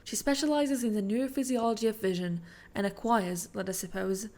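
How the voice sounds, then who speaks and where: A woman's voice: mid-range voice, steady tone, brisk, a little mellow. A woman reading a written passage aloud in a formal style. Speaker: reader of a quoted passage.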